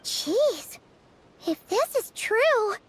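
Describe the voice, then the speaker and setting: A woman voicing a tiny, childlike, excitable fairy companion in a video game, earnest and exclamatory. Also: very high voice, extremely slow, a little hiss or hum, exaggerated voice. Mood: urgent, alarmed. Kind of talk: animated video game voice acting.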